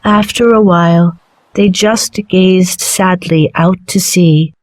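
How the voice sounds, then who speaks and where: Slow, clear woman storyteller. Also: lowish voice, dry recording. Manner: slow, clear and even reading. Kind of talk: scripted storybook narration for children.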